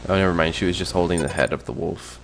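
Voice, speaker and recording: A man with a very low voice comments informally on what he is watching. He thinks out loud in a casual, conversational way, with faint noise behind him. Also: fast, vocal fry, low-quality recording. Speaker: reaction video host. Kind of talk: casual reaction commentary on a horror video.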